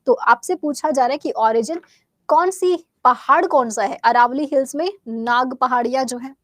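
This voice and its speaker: A woman's voice: high voice, moderate pace, no room sound. Bihari teacher woman with a sore throat. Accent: Bihari Hindi. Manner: chatty, asks and answers her own questions. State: sore throat, clearing it often. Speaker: quiz teacher. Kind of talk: live online exam-prep class.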